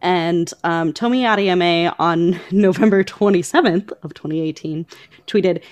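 A woman co-hosting a podcast, talking through book news conversationally with hesitant pauses as she picks her words. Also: lowish voice, darkish tone, no echo, highs cut off. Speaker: podcast co-host.

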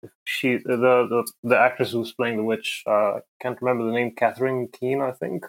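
A man's voice: mid-range voice, fairly even intonation, normal speed, creaky, poor audio. A man chatting casually as a podcast guest, giving his review in a conversational way.

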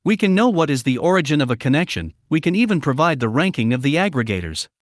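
Animated man with a dry, close sound. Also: on the high side, quick pace, dry recording. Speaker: interview guest, media analytics company representative. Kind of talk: formal, prepared-sounding explanatory answers.

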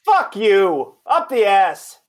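A man's voice: sits high, very animated, slow pace, heavily acted. An actor in an audio drama playing an impatient undercover policeman posing as a buyer, blunt, exasperated and profane. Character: impatient, foul-mouthed male undercover cop posing as buyer. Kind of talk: two-person audio drama acting.

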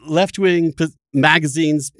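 A man's voice: on the high side, steady tone, slow, a bit bright, dry recording, studio quality. A middle-aged man hosting a conversational political debate, arguing emphatically in formal phrasing. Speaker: podcast host.

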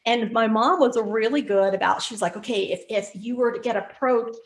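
A woman guest in an interview, telling lively personal stories with frequent restarts. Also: on the high side, a little fast, slight background noise. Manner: animated storyteller, frequent restarts. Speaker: podcast guest. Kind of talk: conversational interview.

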